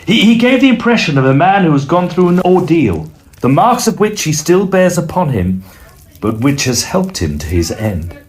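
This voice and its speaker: An actor playing an earnest, cautious Victorian student in an audio drama, with a dramatic, emphatic delivery. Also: male voice, on the high side, big pitch swings, moderate pace. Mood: grave recounting. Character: earnest, cautious male Victorian student recounting a strange night. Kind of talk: audio drama acting and first-person storytelling.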